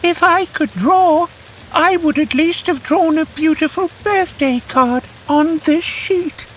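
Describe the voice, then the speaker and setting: A man's voice: extremely high pitch, slow pace, slight background noise, pitched above the performer's own voice, exaggerated voice, rough recording. A man voicing a shy, earnest little mouse in a children's fairytale cartoon. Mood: sheepish, wistful. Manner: soft, muttering, earnest. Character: shy little mouse, timid but eager storyteller.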